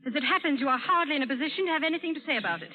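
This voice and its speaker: Spirited, willful young gentlewoman, tinny radio. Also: high voice, lively intonation, rapid-fire. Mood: willful, defiant. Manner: poised, willful, lofty. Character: spirited, headstrong young gentlewoman, planter's niece. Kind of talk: old-time radio drama acting. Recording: tinny vintage radio, live audience.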